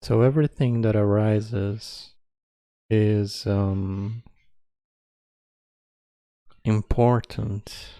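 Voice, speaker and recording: A man leading a guided meditation as its teacher, speaking calmly in spare, measured phrases with long pauses. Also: lowish voice, no room sound, studio quality. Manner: calm, measured.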